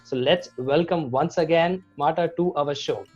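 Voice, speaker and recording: Host with formal scripted intros. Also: male voice, fairly high pitch, normal speed, a little mellow. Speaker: host, video creation coach. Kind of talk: show host introduction and interviewing. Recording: dull lo-fi audio with music bed.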